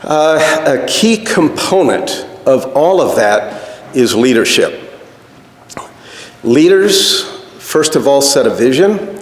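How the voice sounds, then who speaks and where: A man giving an informal, off-the-cuff speech at a meeting, joking and often pausing on "uh". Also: mid-range voice, big pitch swings. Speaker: speaker at society dinner meeting. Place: indoor dinner meeting room. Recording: echoey hall, faint background noise.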